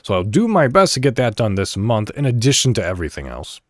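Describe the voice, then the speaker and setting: A man's voice: fairly low pitch, sing-song, fast, no echo, clean studio sound. A man hosting a solo podcast in a casual, conversational and somewhat rambling way. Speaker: podcast host. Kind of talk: casual solo podcast talk, reading articles aloud.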